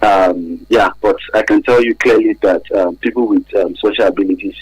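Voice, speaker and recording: A man with a Nigerian accent speaks in a low, husky voice. He explains things haltingly, as an expert guest would in a radio interview. The sound is close and dry. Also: fairly even intonation, darkish tone, no room sound, rough recording. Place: radio studio.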